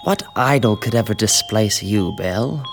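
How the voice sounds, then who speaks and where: Ambitious young suitor, pleading and earnest, reverberant radio drama with faint music. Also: male voice, on the low side, moderate pace, creaky. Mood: defensive. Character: ambitious young man pleading with his fiancée. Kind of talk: theatrical radio drama acting.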